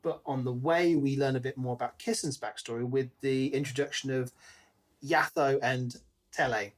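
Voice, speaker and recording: A man's voice: mid-range voice, steady tone, normal speed. Chatty man co-hosting a book podcast. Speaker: podcast co-host. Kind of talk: casual book discussion.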